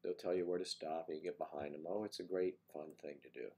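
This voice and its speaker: An older man with a congested, deep voice, chatting casually and teasingly on his morning livestream, greeting viewers by name. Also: steady tone, fairly quick, warm tone. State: congested with a cold. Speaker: livestream host.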